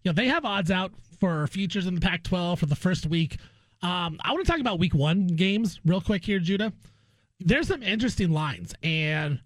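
A man's voice: sits high, no room sound. A man hosting a sports talk show, chatting casually with joking, self-deprecating asides. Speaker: sports radio host.

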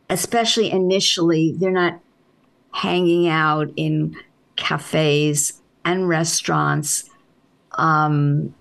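A woman author with a soft voice, answering interview questions in an unhurried, reflective way with halting restarts. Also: on the low side, gravelly.

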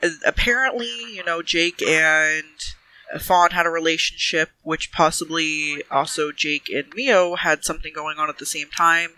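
A man's voice: on the high side, steady tone, measured pace, sharp tone, poor audio. A man playing a tabletop role-playing game, improvising casual dialogue with polite, hedging questions.

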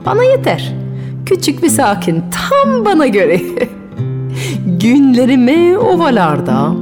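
Pan, a woman voicing a mischievous goat god. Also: medium pitch. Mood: playful, boastful. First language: French. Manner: teasing, boastful, laughing at his own pranks. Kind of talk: storytelling radio monologue. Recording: music bed with long hall reverb.

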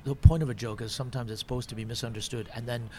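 A man's voice: medium pitch, fairly even intonation, very fast, darkish tone. A man who is a stand-up comedian, chatting on a podcast, thinking aloud with many restarts. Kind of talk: casual podcast chat. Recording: noisy background.

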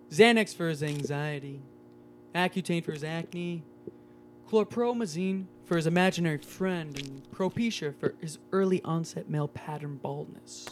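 Man voicing a matter-of-fact cartoon quack doctor, slightly high voice. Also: slight background noise, lightly acted. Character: fake doctor rattling off prescriptions.

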